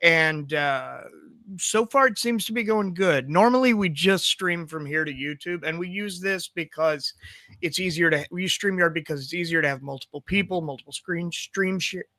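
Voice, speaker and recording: A man hosting a live stream, hoarse from a cold, talking plainly and reading out viewer questions. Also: a little high, moderate pace, pushed and bright, dry recording, clean studio sound. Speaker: host. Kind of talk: casual live-stream talk.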